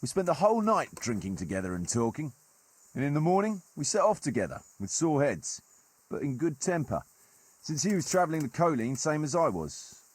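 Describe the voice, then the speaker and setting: An actor playing a gruff, wry medieval nobleman turned mercenary leader in a video game, telling stories in colloquial, old-fashioned turns of phrase with a husky voice. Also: fairly high pitch, big pitch swings, brisk. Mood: animated storytelling. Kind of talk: dramatic storytelling in a video game cutscene.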